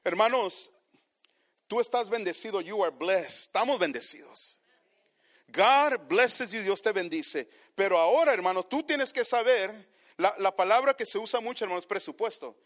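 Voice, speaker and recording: A man's voice: high-pitched, expressive, normal speed. A pastor preaching to his congregation, saying each line in one language and then repeating it in the other, and often calling his listeners brothers. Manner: keeps addressing the congregation as brothers. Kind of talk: bilingual sermon.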